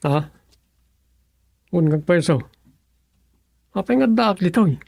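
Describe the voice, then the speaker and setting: A man's voice: high-pitched, lively intonation, takes it slowly, creaky, full character voice. An actor playing a puzzled younger countryman in a radio serial, with a Filipino accent, wondering aloud hesitantly. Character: puzzled younger countryman exploring a strange place.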